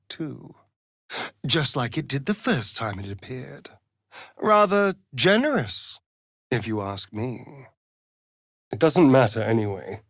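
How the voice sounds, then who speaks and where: A man's voice: medium pitch, no echo, clean studio sound. A man playing a sardonic, theatrical male vampire rogue in a video game, with an arch, drawling delivery. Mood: bitter. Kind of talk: video game character acting.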